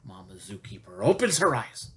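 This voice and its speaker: A man reading a picture book to young children, expressive and chatty, asking questions as he goes. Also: medium pitch, normal speed, breathy edge.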